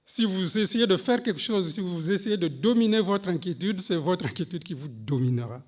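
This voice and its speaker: A man's voice: lively intonation, a little mellow. A man preaching a sermon in French, which is not his first language. He speaks with emphatic, swooping delivery, reads scripture aloud and addresses the congregation directly. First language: a language other than French. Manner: slow, emphatic preaching with dramatic pitch swings, reading scripture aloud. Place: church service.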